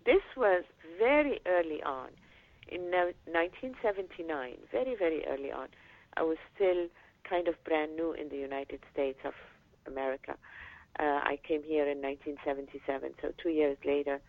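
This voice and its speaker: A woman from Lebanon, an education advocate, answering interview questions in a measured way with pauses and fillers. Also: low-pitched, no echo. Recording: tinny phone line, dry.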